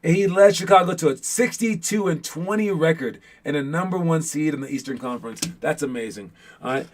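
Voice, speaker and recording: A man's voice: fairly high pitch, a little fast. A man hosting a basketball show as casual chat with viewers, talking in an informal, rambling way with playful teasing.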